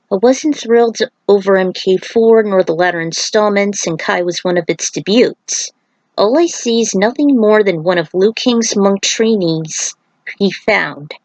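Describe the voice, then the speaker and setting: A woman's voice: mid-range voice, unhurried, a little mellow, no room sound, low-quality recording. A woman narrating her own fan fiction, moving between casual recap and reading aloud.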